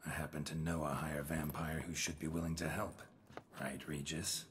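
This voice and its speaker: An actor with an American accent playing a gruff, stoic middle-aged monster hunter in a game, gravelly and deadpan, speaking in clipped sentences. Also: male voice, rumbling low, moderate pace, a little hiss or hum. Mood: dry, terse. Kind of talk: video game cutscene acting. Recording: loud music underneath.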